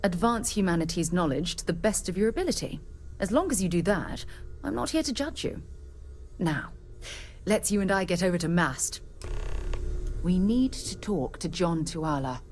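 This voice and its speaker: An actress playing a seasoned, principled space explorer captain in a video game, speaking in a measured, earnest, authoritative way. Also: female voice, fairly low pitch, animated, faint music behind, natural voice. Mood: firm, principled. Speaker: expedition leader. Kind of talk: video game character dialogue.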